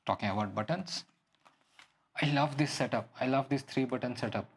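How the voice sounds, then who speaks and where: A man giving an unscripted product walkthrough as a reviewer, explaining things in halting phrases. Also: medium pitch, creaky, breathy edge. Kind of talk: unscripted app and gadget walkthrough.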